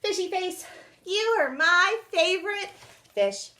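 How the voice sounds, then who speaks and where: A woman's voice: very high-pitched, very animated, slow pace, bright, forceful tone, pitched above the performer's own voice, heavily acted. A woman voicing a cheerful, affectionate little girl in a children's storybook, sweet and playful with her pets.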